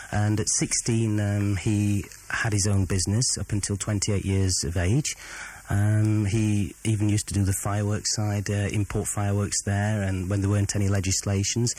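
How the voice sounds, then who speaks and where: Low-pitched, hesitant man. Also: a little mellow. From England (Sheffield). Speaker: interviewee, business owner. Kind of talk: reminiscing in an oral history interview.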